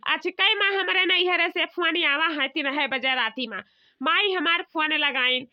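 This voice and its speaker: Cartoon village elder sister female performer: bossy, fretful, very high voice, Awadhi accent, muffled lo-fi sound. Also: a little slow. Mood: fretful, chatty. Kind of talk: comedy cartoon dialogue.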